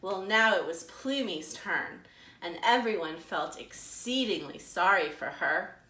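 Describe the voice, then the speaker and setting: An American woman, a children's librarian, telling a story to young children with deliberate, dramatic emphasis. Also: fairly low pitch, animated, pushed and bright. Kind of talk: expressive storytelling for preschoolers. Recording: roomy echo.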